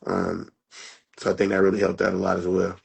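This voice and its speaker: Guest: a man with a lowish voice, easygoing and chatty, leans on "you know what I'm saying.". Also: completely flat delivery, a little fast, vocal fry, breathy edge. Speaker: MMA fighter and guest. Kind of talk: casual interview chat.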